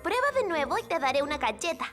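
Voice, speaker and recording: A woman's voice: high-pitched, very animated, quick pace, music in the background. A woman voicing a perky, encouraging young girl in a children's cartoon dub, chirpy and instructive. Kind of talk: animated children's series dub.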